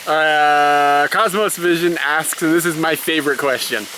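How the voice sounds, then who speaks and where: A man's voice: fairly high pitch, a little slow, sharp tone, a little hiss or hum, rough recording. A young man chatting casually to his viewers and answering their questions, a storyteller who fills his talk with "like" and laughs often. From United States. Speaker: vlogger, elementary school teacher.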